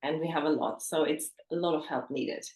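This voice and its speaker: Woman coach from germany, english, calm explaining tone, kinda low, roomy echo. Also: brisk. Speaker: intimacy coach guest. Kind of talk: interview answers.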